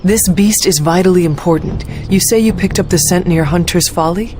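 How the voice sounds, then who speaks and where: Actress as a solemn, formal warrior hunter. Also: female voice, on the low side, music in the background, natural voice. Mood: solemn, curious. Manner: formal, measured, deliberate. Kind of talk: video game cutscene acting.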